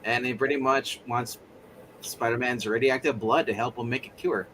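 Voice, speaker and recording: A man in casual tabletop game chat, narrating in a rambling, joking, animated way. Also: mid-range voice, moderate pace, slight background noise, poor audio. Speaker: player narrating in a roleplay game.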